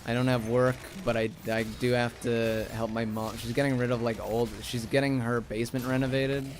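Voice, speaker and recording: A young man streaming a game, sleepy and a little raspy, rambling casually to chat as he plays. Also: medium pitch, little pitch movement, moderate pace, a little hiss or hum. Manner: rambling, talks to chat while playing.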